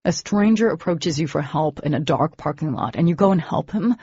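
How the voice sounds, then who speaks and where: A woman voicing a gruff, protective young man who talks in a blunt, sardonic way. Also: sits low, expressive, brisk, a little mellow, dry recording, muffled recording, exaggerated voice.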